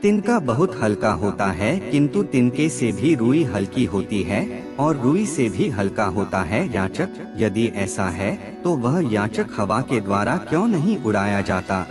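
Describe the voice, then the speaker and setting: Expressive low-voiced male narrator over music. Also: measured pace. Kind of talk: scripted narration of moral aphorisms. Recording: music bed under the voice. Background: background music.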